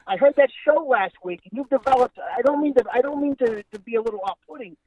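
A man's voice: very high voice, quick pace, no room sound, lo-fi sound. A man voicing a pushy older male talent agent who calls in to nag and scold, bossy and repeating the listener's name constantly. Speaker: caller. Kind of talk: improvised comedy bit.

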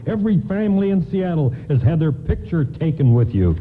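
Animated folksy doorman. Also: fairly high pitch, a little fast. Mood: earnest, friendly. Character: friendly, plain-spoken male department store doorman. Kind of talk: radio play acting. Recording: muffled, faintly noisy radio broadcast.

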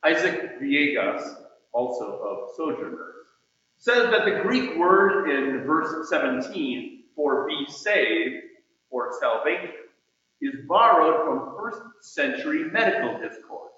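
A man preaches in a slightly high-pitched voice, taking his time over each phrase. His delivery is measured and emphatic, with deliberate pauses. The sound is heavily reverberant, as in a large hall. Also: sing-song, bright, forceful tone. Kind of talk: sermon with scripture and quoted readings. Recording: muffled, very echoey hall with long reverb.

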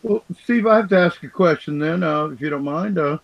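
A man with a big, fairly high voice joins the podcast conversation, eager and meandering as he talks. His mic is close and dry, with no room sound around him. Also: brisk, creaky, no echo, poor audio. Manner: big-voiced, enthusiastic and rambling. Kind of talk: casual podcast chat. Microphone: own separate mic.

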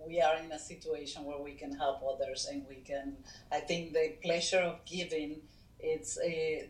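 A middle-aged woman from Venezuela, a guest co-founder of a foundation, speaking natively and talking in an earnest, halting way with many fillers. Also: deep voice, echoey room, rough recording. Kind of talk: casual interview talk.

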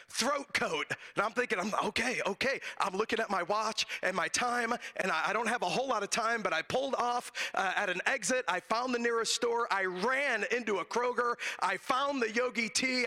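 A raspy, hoarse man preaches from the pulpit, his voice pitched high and bright. He spins a folksy personal story and breaks into laughter again and again. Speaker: guest preacher. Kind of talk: sermon with humorous personal story. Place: church sanctuary, from the pulpit. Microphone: handheld microphone.

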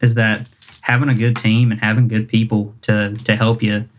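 A man's voice: lowish voice, flat delivery, moderate pace. A man, a singer-songwriter chatting casually in an interview, rambling and self-deprecating with lots of fillers. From Kentucky, US.

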